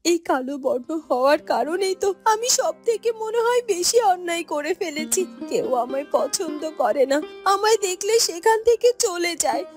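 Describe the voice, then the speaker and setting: An actress plays a gentle young village woman with a very high, girlish voice, sorrowful and pleading. It is melodramatic fairy-tale cartoon dubbing with prominent music underneath. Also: animated, unhurried, hardly any pauses. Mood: anguished. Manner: plaintive, pleading.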